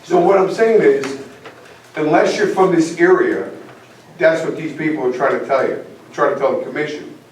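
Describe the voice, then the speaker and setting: A man's voice: fairly high pitch, moderate pace, a little hiss or hum. A man from Connecticut on a town commission, arguing insistently and repeating himself at a public meeting. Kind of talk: heated public meeting debate. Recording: echoey hall from far off.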